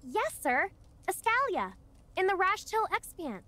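A woman's voice: extremely high pitch, sing-song, a little slow, sharp tone, heavily acted. A woman voicing a sweet, earnest young girl in a video game, polite and a little hesitant. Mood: concerned, coaxing. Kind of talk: video game character acting.

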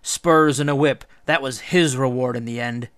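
A man's voice: mid-range voice, moderate pace, a bit bright, smooth, no room sound, clean studio sound, natural voice. A man voicing a bold, scornful hero king in a storybook myth, taunting with sardonic questions.

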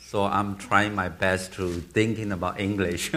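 A man in his thirties with a Burmese accent answers questions on a theater stage. He speaks slowly and haltingly, in a fairly low voice, and often restarts his sentences. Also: fairly even intonation, heavy background noise. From Myanmar. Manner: halting, searching for words, with frequent restarts. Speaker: film director.

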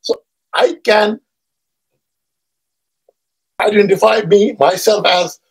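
Husky older man, pitched fairly high. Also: poor audio. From Bangladesh. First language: Urdu. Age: 70s. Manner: measured, discursive, pausing often with "uh". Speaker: historian vlogger. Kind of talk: personal introduction lecture. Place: home study lined with bookshelves. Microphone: webcam at desk, seated medium shot.

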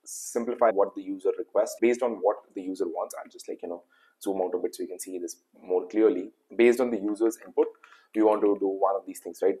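Man, young adult, Indian English accent, lowish voice, quick, casual tutorial, lots of fillers. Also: steady tone, mellow, poor audio. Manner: quick, loose, with frequent fillers. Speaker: tutorial host. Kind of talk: casual screen-recorded tutorial. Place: indoor room.

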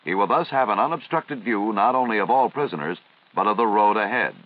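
An American man reading formal, measured, instructional narration in the style of a 1950s military training film. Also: lowish voice, expressive, no echo. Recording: dull, band-limited vintage film soundtrack.

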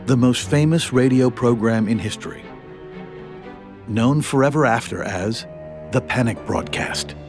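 A man reading scripted documentary narration in a measured storytelling manner. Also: medium pitch, over music.

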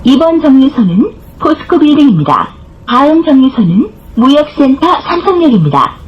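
Lady doing bus stop announcements, really high lively pitch, formal and careful, muffled recording w some bus noise. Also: moderate pace. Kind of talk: scripted transit announcement. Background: bus interior ambience.

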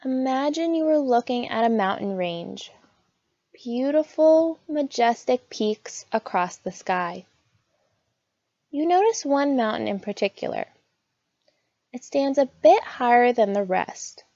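A woman narrating a scripted guided meditation in a soothing, gentle, unhurried manner. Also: fairly high pitch, expressive, no room sound, muffled recording.

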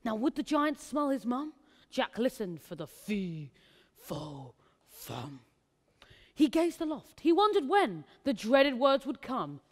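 A woman's voice: sits high, big pitch swings, moderate pace. A woman narrating a rhyming story theatrically on stage. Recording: stage performance in echoey hall.

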